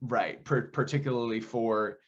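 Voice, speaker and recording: A young American man talks as an interview guest about his creative work. His voice sits at an average male pitch and his answers are earnest and explanatory. The recording is a little dull and lo-fi. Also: fairly even intonation, unhurried. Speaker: community theater director and actor, interview guest. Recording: dull, lo-fi audio.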